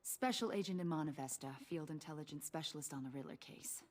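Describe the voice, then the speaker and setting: An actress playing an eager, chatty intelligence agent in a video game, breezy and conspiratorial. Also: female voice, deep voice, fast talker. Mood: eager, confiding. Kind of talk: dramatic video game acting.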